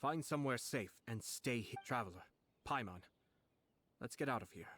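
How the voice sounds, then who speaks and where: A man voicing a composed, shrewd noble commissioner in a video game, speaking in a formal, measured, politely authoritative way. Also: medium pitch, expressive, a bit bright, slight background noise, lightly acted. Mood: composed, resolute. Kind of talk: scripted video game voice acting.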